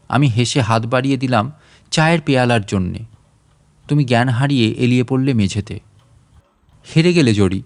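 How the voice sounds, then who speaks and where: A man reading a literary story aloud as a narrator, in an expressive, reflective and measured first-person delivery. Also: medium pitch.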